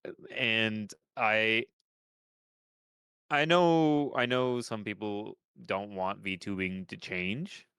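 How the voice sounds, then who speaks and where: A man's voice: lowish voice, extremely slow, pushed and bright, smooth, no echo, clean studio sound. A young man with a stuffed-up nose, chatting on a podcast in a rambling way, with lots of restarts and casual swearing. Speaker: podcast host.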